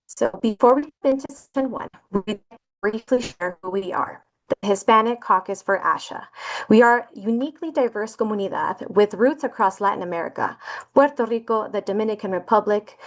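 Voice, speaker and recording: A woman hosting a webinar, reading scripted welcome remarks in a calm, measured way before handing over to the speakers. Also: medium pitch. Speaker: webinar host introducing speakers.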